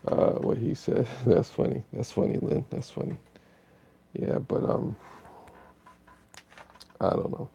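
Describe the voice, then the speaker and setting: A man's voice: medium pitch, animated, unhurried, pauses often, mellow. A tired man, hosting a laid-back live stream and rambling through chat comments. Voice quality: raspy.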